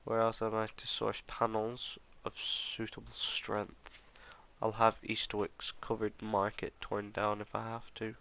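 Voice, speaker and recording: A male gaming host who sounds a little nasal from his allergies, murmuring through in-game notes and musing aloud. His voice is somewhat low with a gravelly edge and a bright, forward tone. A faint hiss of noise sits underneath. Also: completely flat delivery, unhurried. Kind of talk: let's play commentary.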